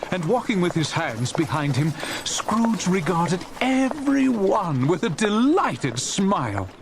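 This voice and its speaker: A man narrating a Victorian Christmas tale as a genial, expressive, theatrical audiobook storyteller. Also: high voice, slow pace, creaky, background noise, full character voice. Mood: amused.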